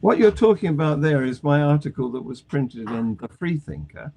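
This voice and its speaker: A man's voice: a little high, expressive, normal speed, gravelly, noisy background. A man hosting a live online talk show, wry and self-deprecating, chuckling as he talks. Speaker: host. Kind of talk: casual chat, hosting.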